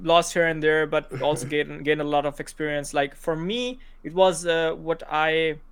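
A young man in his twenties, from Pakistan, talking as a guest in a casual interview, halting with many fillers and restarts. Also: on the high side, clear, resonant tone, no room sound. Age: 20s. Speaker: podcast guest.

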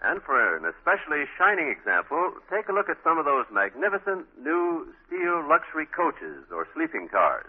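A man's voice: a little high, very animated, moderate pace, few pauses, slightly rough. A man with an American accent delivering a formal, polished sponsor message as a 1940s radio announcer. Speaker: commercial spokesman, announcer. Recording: thin, muffled old radio recording.

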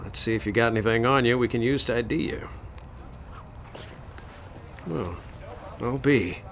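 An actor playing a world-weary, sardonic Boston police detective who asks probing questions in a radio drama. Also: male voice, mid-range voice, moderate pace, fairly bright tone, a little hiss or hum, lightly acted. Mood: wry, casual. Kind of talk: 1920s-style radio drama acting.

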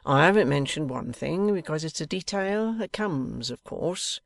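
A man's voice: on the high side, animated, unhurried, vocal fry, a bit bright, dry recording, voice pushed up, exaggerated voice. Man voicing a sly, sneering, bullying Victorian rogue. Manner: contemptuous, blustering, colloquial Cockney turns of phrase. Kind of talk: dramatised audiobook character voice.

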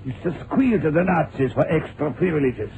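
Very gravelly, menacing villain doctor. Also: male voice, a little high, lively intonation, lo-fi sound. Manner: measured, coolly threatening. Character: menacing male villain, a smooth cold doctor with a gun. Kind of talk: 1970s radio drama acting. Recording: hissy old radio drama recording.

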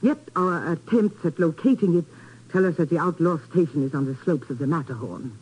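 An actress playing an earnest foreign radio station official, speaking formally and precisely in 1950s radio drama style. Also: female voice, sits low, fairly quick, a little mellow, breathy edge. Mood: grave, insistent. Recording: muffled old 1950s radio recording.

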